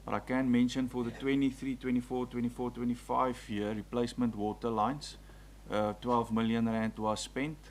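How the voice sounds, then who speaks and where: A gravelly-voiced man reports to council, reading figure after figure in a steady list with the odd "um". A faint hum sits under the chamber sound. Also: mid-range voice, normal speed, few pauses. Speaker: executive mayor.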